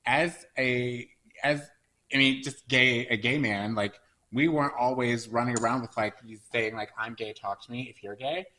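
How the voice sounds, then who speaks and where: A man's voice: medium pitch, poor audio. A man co-hosting a podcast, chatting casually in a playful, teasing way, with loose speech full of fillers and restarts. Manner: animated, playful, quick to tease. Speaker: podcast co-host. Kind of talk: casual chat.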